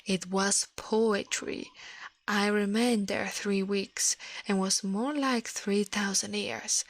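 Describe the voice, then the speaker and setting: A woman whispers a story in ASMR style, very close and dry. Her breathy voice often drops into creaky fry, and she reads a little haltingly, sometimes stumbling and correcting herself. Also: medium pitch, no echo, rough recording. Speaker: ASMR livestreamer reading a story aloud.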